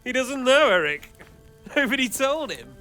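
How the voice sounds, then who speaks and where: An actor playing a scheming mercenary lord who taunts a captive with silky menace. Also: male voice, extremely high pitch, very animated, moderate pace, sharp tone, faint music behind, heavily acted. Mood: taunting. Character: smug scheming mercenary lord, adult man. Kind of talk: game cutscene acting.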